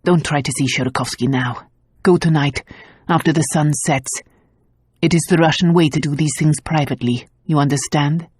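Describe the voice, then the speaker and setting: A woman's voice: low-pitched, moderate pace, darkish tone, breathy edge, no room sound, studio quality. Woman doing a proud, scornful aristocrat. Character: proud, wiry aristocratic man, cold and scornful.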